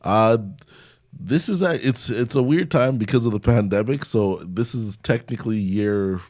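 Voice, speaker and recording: A man's voice: medium pitch, moderate pace, a little mellow, no echo. A young man, talking casually as an interview guest and often chuckling as he speaks. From Canada. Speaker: stand-up comedian, interview guest.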